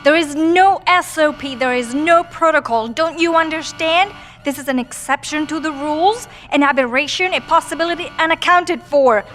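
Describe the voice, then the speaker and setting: A woman's voice: high voice, moderate pace, few pauses, a bit bright. A woman playing an exasperated female scientist who speaks in an emphatic, insistent way, in an audio drama.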